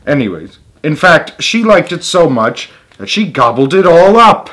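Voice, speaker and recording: A man's voice: on the high side, sing-song, measured pace, a bit bright. A man reading a children's story aloud as its host, with emphatic delivery and casual asides.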